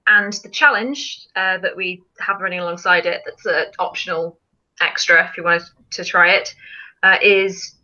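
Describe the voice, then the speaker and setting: A woman's voice: on the low side, moderate pace, bright, forceful tone, muffled recording. A woman hosting a casual live stream, chatting and explaining things in an informal way. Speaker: film challenge live stream host.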